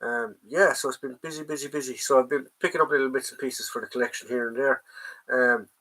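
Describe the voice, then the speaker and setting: A man's voice: medium pitch, fairly even intonation, quick pace, bright, forceful tone, rough recording. An Irish man with a stuffy nose from a head cold, hosting a casual live chat from home, rambling with plenty of ums and greeting viewers by name. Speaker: livestream host, collector.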